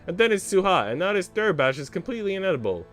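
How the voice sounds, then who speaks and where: A man's voice: high voice, sing-song, quick pace, fairly bright tone, soft background music, pitched above the performer's own voice. A man voicing a haughty, petulant young noblewoman from a visual novel, snapping indignantly.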